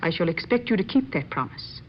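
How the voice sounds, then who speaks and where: Stern lady medium, lowish voice, formal n bossy, muffled old radio play. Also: very animated, a little hiss or hum. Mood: commanding. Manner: formal, commanding, measured. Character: stern, imperious married woman who works as secretive medium. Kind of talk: old-time radio drama acting.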